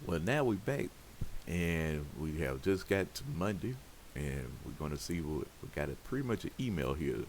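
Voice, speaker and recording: A man's voice: sits low, very animated, normal speed. A man giving loose, rambling commentary on his game while it plays, his voice scratchy and his talk full of fillers. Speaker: game streamer. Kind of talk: casual gameplay commentary.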